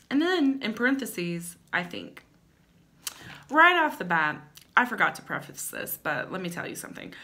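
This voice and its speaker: Young woman, mid-pitched, playful comic host; dramatic readings broken up by wry, chatty asides. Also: sharp tone. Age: young adult. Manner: playful, wry, theatrical emphasis. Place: small indoor room at home.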